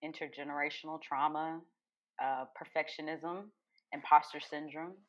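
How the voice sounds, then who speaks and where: A woman's voice: low voice, level tone, normal speed. A woman, a therapist, talking casually as a podcast guest in a playful, informal way, on slightly muffled audio. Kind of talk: casual self-introduction.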